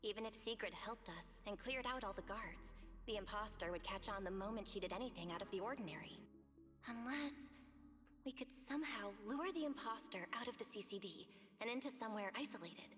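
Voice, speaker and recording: A woman's voice: medium pitch, animated, brisk, fairly bright tone, natural voice. A woman playing an earnest, eager young schemer in a sci-fi video game, with natural delivery. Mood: thoughtful. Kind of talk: scripted video game voice acting. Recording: game music behind the voice. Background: background music.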